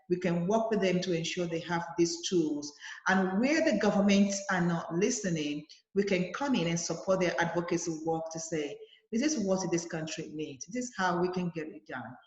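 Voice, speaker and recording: A woman's voice: on the low side, moderate pace. A woman advocate answering interview questions earnestly, with many fillers and restarts, over a video call. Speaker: guest, advocacy leader. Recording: roomy, dull lo-fi sound.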